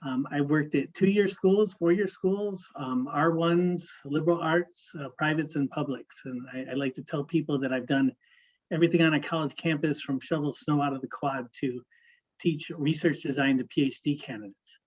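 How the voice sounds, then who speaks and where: A man's voice: on the high side, moderate pace. A man in his fifties presenting on a webinar panel. He talks in a friendly way, with lots of "uh" fillers and doubled words. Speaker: consultant, panelist. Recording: slightly dull audio.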